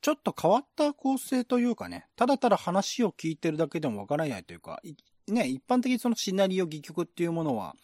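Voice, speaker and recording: A man's voice: sits high, huge pitch swings, fast, hardly any pauses, darkish tone, no room sound, clean studio sound. A man hosting a casual, explanatory discussion in polite speech, often checking in with his listener. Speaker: host and reader of a radio-drama reading show.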